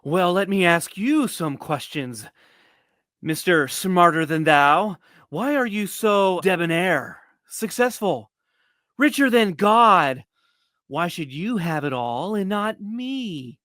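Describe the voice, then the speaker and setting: A man's voice: sits high, lively intonation, slow, fairly bright tone, no room sound, clean studio sound, heavily acted. A man voicing a bitter, grandiose movie mad inventor with a wounded ego, in a theatrical, pompous, wordy manner. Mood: bitter, envious.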